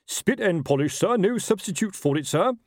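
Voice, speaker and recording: A man's voice: high voice, animated, normal speed, no room sound, studio quality, pitched above the performer's own voice. A man playing a nervous, over-eager officer who barks clipped, deferential replies in a storybook reading. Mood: nervous, barking. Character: nervous, over-loud, eager male officer standing to attention.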